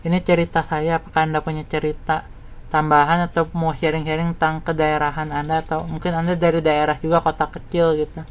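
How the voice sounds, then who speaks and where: A man's voice: fairly high pitch, completely flat delivery, fairly quick, smooth. A man from West Java giving a casual, rambling, informal personal monologue as a content creator. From West Java, Indonesia.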